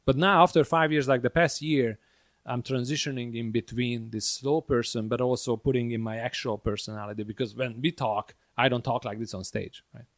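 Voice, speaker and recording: This is a man with a Hungarian accent in relaxed podcast conversation. His voice sits at an average pitch, and the sound is dry and close. He talks like a comedian, with blunt, dry humor and the odd swear word. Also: a little fast, no echo. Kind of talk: casual chat.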